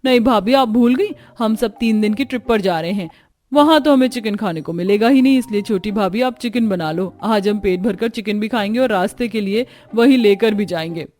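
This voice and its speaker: A woman's voice: medium pitch, moderate pace, a little mellow, lightly acted. A woman voicing an eager, pushy young sister-in-law who speaks insistently in a cartoon family story. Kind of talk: Hindi cartoon story dialogue.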